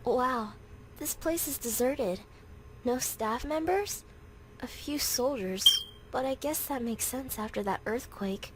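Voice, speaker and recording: Very high-pitched anxious teenage girl, acted by a woman. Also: takes it slowly, background noise. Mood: shocked. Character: determined, anxious teenage schoolgirl in a visual novel. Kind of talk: visual novel voice acting.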